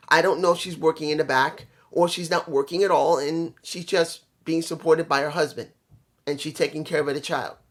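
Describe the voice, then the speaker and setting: A slightly hoarse vlogger giving a casual, opinionated wrestling review, conversational and repeating points for emphasis. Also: medium pitch.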